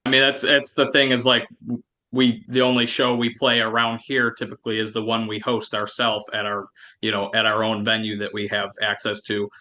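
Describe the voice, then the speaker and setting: A man's voice: lowish voice, completely flat delivery, normal speed, pushed and bright. A man in his twenties from Western New York, an easygoing metal band member chatting as a guest. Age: 20s. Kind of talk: casual chat.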